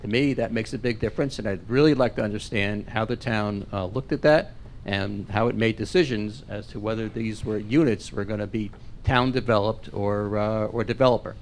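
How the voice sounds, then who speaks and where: A man speaking at public comment in a town council meeting, earnest and measured, with many fillers. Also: on the low side, a little hiss or hum. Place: council chamber.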